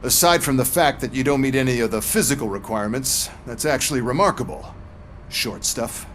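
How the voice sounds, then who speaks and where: An actor playing a manipulative, smug police boss in a video game, with a sardonic, condescending manner. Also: male voice, medium pitch, brisk, a bit bright, slight background noise, lightly acted. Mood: smug, coaxing. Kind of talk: scripted video game acting.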